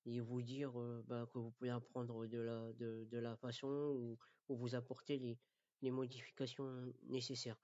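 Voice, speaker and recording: A man from France giving an informal lesson as a Quran recitation teacher, halting and repeating his words, often checking that listeners follow. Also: mid-range voice, monotone, a little mellow, no room sound, lo-fi sound. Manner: didactic, checks understanding. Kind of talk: informal spoken lesson addressed to learners.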